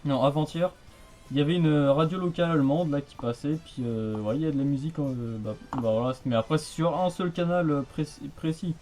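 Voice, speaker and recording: Man, fairly high pitch, soft dull tone, hesitant, repeats himself, casual radio conversation. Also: a little fast, faint music behind. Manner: rambling, hesitant, repetitive. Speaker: amateur radio hobbyist.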